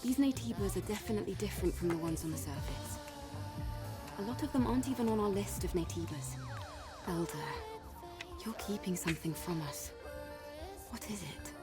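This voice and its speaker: An actress voices a serious female soldier in a video game, wary and measured. Her voice sits at a middle pitch, with clear music playing behind it. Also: lively intonation, close to an everyday voice.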